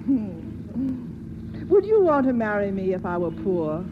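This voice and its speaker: Arch, animated society widow. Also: female voice, medium pitch, takes it slowly. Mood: wryly amused. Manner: arch, wryly amused. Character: worldly, wealthy widow, amused and in love. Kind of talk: 1940s radio play acting. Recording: very noisy, dull old radio broadcast.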